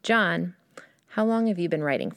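A woman's voice: fairly low pitch, lively intonation, normal speed, creaky, no echo. A woman hosting a podcast, reading scripted introductions and interview questions.

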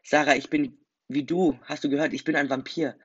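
A man voicing an enraged young man who has just become a vampire, shouting accusations in a husky, gravelly voice. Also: lowish voice, level tone, brisk, dry recording. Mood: furious. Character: furious young man newly turned vampire. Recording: dull dry recording, faint music.

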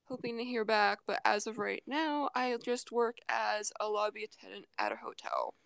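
A woman's voice: fairly high pitch, animated, moderate pace, creaky, fairly bright tone, clear, resonant tone, no echo. A woman in her twenties chatting as a podcast guest, rambling and giggling with lots of fillers. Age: 20s. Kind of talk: casual chat.

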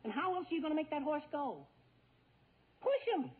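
A man's voice: very high-pitched, lively intonation, moderate pace. A man playing an eager, bossy, exasperated teenage boy in 1940s radio comedy, pleading and exclaiming. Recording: tinny 1940s radio broadcast.

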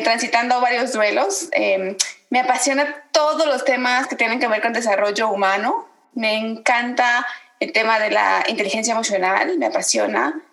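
Lady introducing herself on a podcast, thoughtful, lots of pauses, echoey room. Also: fairly high pitch, a bit bright. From Guatemala. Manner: reflective, many pauses and fillers. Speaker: podcast guest. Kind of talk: casual self-introduction.

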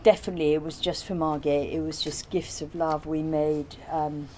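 A woman's voice: deep voice, flat delivery, normal speed, dark tone, slight background noise. A woman in informal conversation, explaining and recollecting in a rambling way. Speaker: artist being interviewed.

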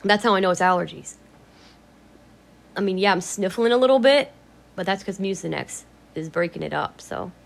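A woman vlogging in a casual, rambling way, her voice a little raspy from allergies, blunt and free with swear words. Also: on the low side. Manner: chatty, blunt, swears freely. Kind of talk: casual rambling vlog chat.

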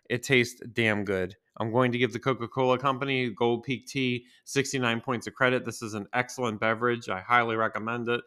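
A man's voice: medium pitch, sharp tone. A man, hosting a casual solo podcast, a bit stuffy from a cold and talking in a rambling, crude, jokey way. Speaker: podcast host.

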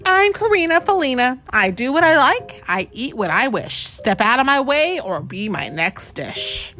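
A woman's voice: high voice, very animated, measured pace, sharp tone, faint music behind, pitched above the performer's own voice, full character voice. Woman voicing a haughty, greedy monster she-cat. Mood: boastful, menacing. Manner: boastful, dangerously sweet.

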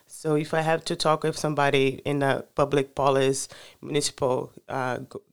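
Halting man, smooth voice. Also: mid-range voice, level tone. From Brazil. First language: Portuguese. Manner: halting, searching for words with many fillers. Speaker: guest. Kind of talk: casual interview answers.

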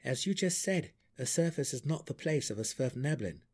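A man voicing a resolute, brooding male dark elf exile in a fantasy audiobook, speaking earnestly and formally with defiance. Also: medium pitch, lively intonation, normal speed, husky, voice pushed up. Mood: solemn, determined. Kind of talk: fantasy audiobook character acting.

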